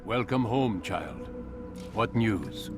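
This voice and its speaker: A man playing a commanding older master of assassins in a video game, measured and didactic. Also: lowish voice, animated. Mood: paternal, assured. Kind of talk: dramatic video game cutscene acting. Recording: loud music behind the voice.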